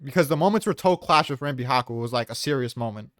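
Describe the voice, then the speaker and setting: A man's voice: mid-range voice, lively intonation, quick pace, fairly bright tone, dry recording, clean studio sound. A man in a casual chat, arguing his points with offhand swearing.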